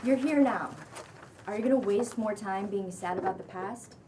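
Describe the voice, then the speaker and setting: A woman playing a calm, friendly but stern non-binary social media guru in their thirties at a script table read, earnest and measured. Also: mid-range voice, close to an everyday voice. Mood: urging, reassuring. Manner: earnest, measured motivational delivery. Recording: distant mic, faint room noise.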